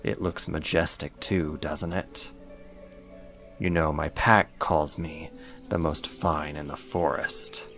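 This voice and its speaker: A man playing a big possessive werewolf in a roleplay audio drama, gravelly, smug and flirtatious while taunting his rival. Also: very deep voice, fairly even intonation, slow pace, fairly bright tone, faint music behind, heavily acted. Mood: boastful, coaxing. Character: big cocky possessive male werewolf, smitten and boastful.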